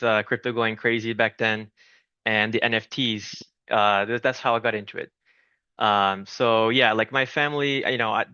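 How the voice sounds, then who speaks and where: A man's voice: mid-range voice, pushed and bright, smooth, no room sound, lo-fi sound. A man from Brazil, in his thirties, a founder talking as a guest in a friendly, chatty way with many restarts. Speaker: game studio founder, guest. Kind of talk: casual interview talk.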